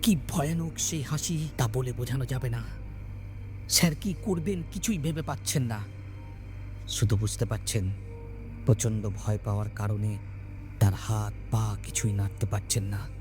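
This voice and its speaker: Man, mid-pitched voice, scripted ghost-story narration, dramatic, suspenseful pauses, music bed. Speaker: storyteller, channel host. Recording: background music under voice.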